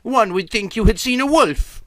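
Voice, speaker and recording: A man's voice: very high-pitched, animated, normal speed, dry recording, voice pushed up. A man voicing a prim, kindly, inquisitive upper-class aunt in a storybook. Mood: teasing.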